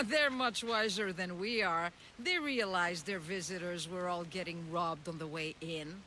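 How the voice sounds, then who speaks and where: A woman's voice: lowish voice, unhurried, sharp tone, slight background noise. A woman playing a knowing temple local with an amused, scornful manner in a video game. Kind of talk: video game cutscene acting.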